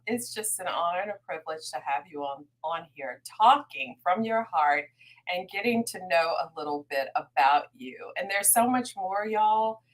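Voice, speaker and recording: A woman's voice: fairly low pitch, lively intonation, moderate pace, poor audio. A woman hosting a talk show, encouraging her guest and talking to viewers with a friendly "y'all". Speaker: show host. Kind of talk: casual interview chat.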